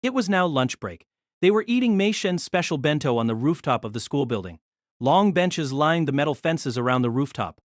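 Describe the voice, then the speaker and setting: A narrator reading a novel aloud in an expressive storytelling manner. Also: medium pitch, moderate pace, no room sound, studio quality.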